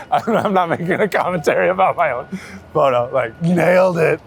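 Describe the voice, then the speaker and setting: A man's voice: fairly high pitch, normal speed, creaky. A man talking casually and informally as a street photographer being interviewed, with slangy, sweary phrasing. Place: outdoors on a city street. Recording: outdoor city street noise, traffic.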